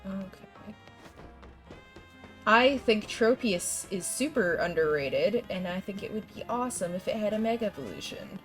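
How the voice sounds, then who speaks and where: A woman's voice: mid-range voice, expressive, normal speed. Brief: young woman game streamer, stuffy congested voice, rambling casual chat, faint game music behind. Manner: chatty, rambling, frequent laughs and restarts. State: congested, fighting a cold. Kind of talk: casual gameplay chat with viewers.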